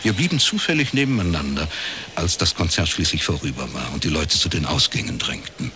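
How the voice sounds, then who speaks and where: An actor playing a reserved middle-aged detective in a radio crime drama, speaking in a dry, laconic way. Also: male voice, extremely low pitch, expressive, a little fast, bright, forceful tone, a little hiss or hum, full character voice. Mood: dry, reflective. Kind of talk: first-person radio crime drama narration and dialogue.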